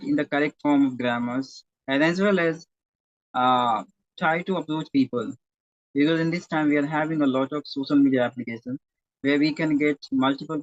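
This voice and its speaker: Halting, self-correcting male teacher. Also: fairly high pitch, no echo. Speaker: primary school teacher, interview guest. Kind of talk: interview conversation. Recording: dull, dry lo-fi audio.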